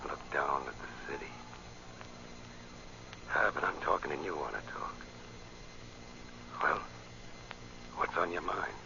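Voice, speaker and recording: A man's voice: extremely low pitch, slow pace, creaky, long pauses, heavily acted. An actor playing a smug, menacing racketeer boss in an old-time radio drama, talking in sneering tough-guy slang. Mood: smug, needling. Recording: dull, noisy old radio recording.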